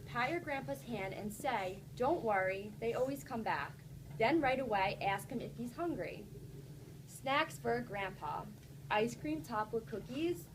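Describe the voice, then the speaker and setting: A woman's voice: a little high, background noise. A woman teacher reading a storybook aloud to children, encouraging and instructive, stopping to put questions to the class.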